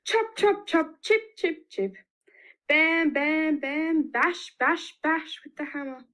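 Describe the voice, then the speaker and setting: Slow, higher-pitched Australian woman reading stories. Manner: expressive, emphatic repetition with sound effects. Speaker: children's storyteller. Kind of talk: picture-book read-aloud for young children.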